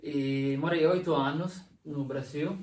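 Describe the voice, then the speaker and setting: A young adult man from Italy speaks Portuguese with a strong Italian accent. He pauses, fills gaps and restarts as he hunts for words. He sounds distant from the mic in an echoey room. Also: a little high, fairly even intonation, a little mellow. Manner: hesitant, many fillers and restarts. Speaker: guest. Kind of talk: casual interview conversation.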